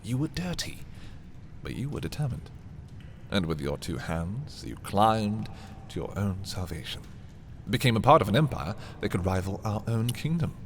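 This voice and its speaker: A man playing an arrogant, possessive young prince in a dark fantasy audio roleplay, haughty and theatrical in his delivery. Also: on the low side, sing-song, normal speed, slight background noise. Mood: persuasive, intense. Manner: haughty, dramatic, swinging from hushed to exclaiming. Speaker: voice performer. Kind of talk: dramatic roleplay audio, ASMR fantasy monologue.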